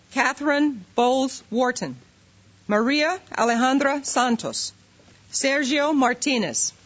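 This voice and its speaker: A woman calls out names one by one at a formal ceremony, unhurried and even. The recording sounds muffled and lo-fi. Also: sits high. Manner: steady, measured roll call. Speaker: immigration official. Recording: dull lo-fi recording.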